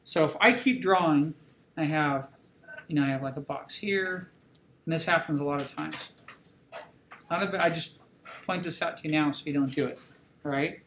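A man's voice: fairly high pitch, moderate pace, long pauses, fairly bright tone. Roomy-sounding male drawing instructor. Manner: conversational, repeats "okay?" checks with students. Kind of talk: classroom lecture while drawing. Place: classroom. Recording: roomy classroom with faint noise.

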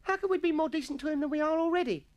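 A man voicing a shrill, boisterous young English schoolboy who exclaims excitably in a story reading. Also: rapid-fire, highs cut off. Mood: keen, puzzled.

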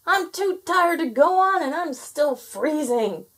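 A woman voicing a cold, weary bearded male gnome who shouts and exclaims in a children's story. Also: high voice, expressive, moderate pace, pitched above the performer's own voice. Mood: exasperated, weary.

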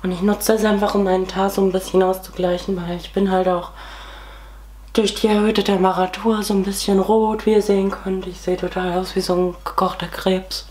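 Young woman, twenties, hoarse with a cold, casual beauty vlogger, faint room hiss behind. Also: mid-range voice, flat delivery, brisk, darkish tone. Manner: chatty, many fillers, talks while applying makeup. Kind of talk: casual makeup tutorial chat. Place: small room at home, indoors.

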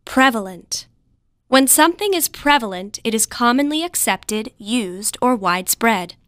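A woman reading scripted vocabulary material for learners in a measured, instructional way. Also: fairly high pitch, lively intonation, fairly bright tone, no echo. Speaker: vocabulary narrator. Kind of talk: scripted word definitions for learners.

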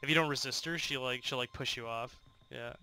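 A man's voice: medium pitch, fairly quick, bright, forceful tone, low-quality recording. A man streaming a horror game, casually swearing and mocking other players with frequent laughter. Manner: profane, mocking, laughs often. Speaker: gaming channel host. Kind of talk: casual gaming banter.

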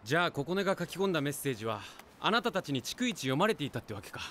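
Bright, animated, high-pitched brash young man. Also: racing, slight background noise. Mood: suspicious, questioning. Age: young adult. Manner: dramatic, brash, suspicious. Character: hot-headed, protective young student in glasses. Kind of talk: anime film voice acting.

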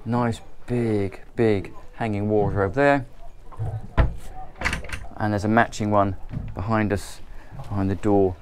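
A man's voice: lowish voice, little pitch movement, slow pace, darkish tone, a little hiss or hum. Chatty man touring a boat. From England. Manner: chatty, enthusiastic, loosely ad-libbed. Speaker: boat tour presenter. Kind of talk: walkthrough tour narration. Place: on board a motor boat at a marina, moving between cabins and decks.

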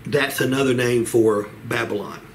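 A man, teaching the Bible as a pastor in a measured, earnest way with frequent pauses, seated in a small room a little way from the mic. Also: medium pitch, steady tone. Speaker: pastor leading a Bible study. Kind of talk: Bible teaching, expository sermon. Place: small home office, indoors. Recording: clear background room noise.

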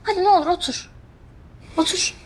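An actress playing a stubborn young wife who coaxes and pleads with her husband, then answers him with quick, defiant retorts in a TV drama. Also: very high voice. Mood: pleading. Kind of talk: TV drama acting. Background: music score.

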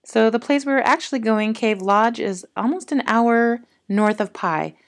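A woman's voice: fairly high pitch, normal speed. A woman in her thirties chatting casually in a family travel vlog, upbeat and rambling.

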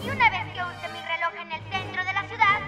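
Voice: very high voice, fairly even intonation, fairly quick, roomy sound, distant mic, over a noisy crowd. An actor voicing a gleeful boy mad inventor in a cartoon, boastful and gloating, with music behind. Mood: scheming glee.

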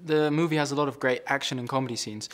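A young man in his twenties with a mid-pitched voice, conducting an interview. He moves quickly through polite, prepared questions. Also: steady tone. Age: 20s. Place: indoor press junket room, seated before a film backdrop.

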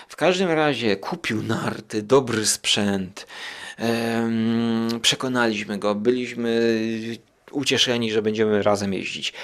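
Male podcast host, unhurried, rambling and conversational, mid-range voice. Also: mid-range voice. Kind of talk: casual solo podcast talk with poem reading.

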